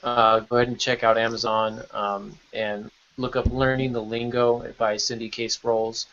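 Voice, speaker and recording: A man's voice: medium pitch, little pitch movement, moderate pace, fairly bright tone. Male podcast host, slightly muffled audio. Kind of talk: casual podcast chat.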